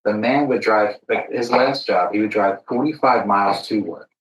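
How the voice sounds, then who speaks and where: A man with a fairly low voice, heard in a roomy, echoing space. He tells stories in a casual, reflective podcast style, earnest and emphatic, pausing between short phrases. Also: dull audio. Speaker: podcast co-speaker. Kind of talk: casual reflective conversation, storytelling. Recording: roomy, echoey sound.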